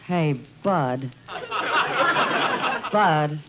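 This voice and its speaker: A furtive, laconic racetrack tout in an old radio comedy. The voice is low and slow, terse and conspiratorial, on a very noisy recording. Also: female voice, muffled recording, crowd noise. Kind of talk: 1950s radio comedy acting.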